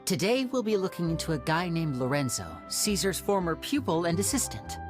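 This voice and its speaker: A woman playing a polite young stage magician and schemer in a video game, measured, courteous and persuasive. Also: fairly low pitch, lively intonation, over music, lightly acted. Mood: measured, planning. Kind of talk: English game voice acting.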